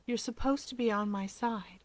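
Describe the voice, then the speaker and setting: A woman's voice: on the high side, normal speed, no echo, close to an everyday voice. Woman reading as a sulky, prim teenage boy. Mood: pouting. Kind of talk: acted dialogue in story reading.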